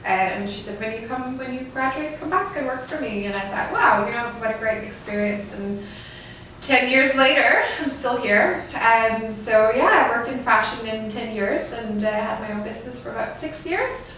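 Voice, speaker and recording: A woman's voice: a little high, moderate pace, fairly bright tone. A woman in her thirties from Denmark, casually answering interview questions as a guest. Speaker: guest, fashion designer. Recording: echoey room, distant mic, faint noise.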